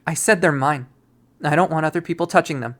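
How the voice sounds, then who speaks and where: A performer voicing a bubbly but touchy young woman in a visual novel, with an animated, emphatic, girlish manner. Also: mid-range voice, fairly quick, voice pushed up, close to an everyday voice. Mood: defensive, heated.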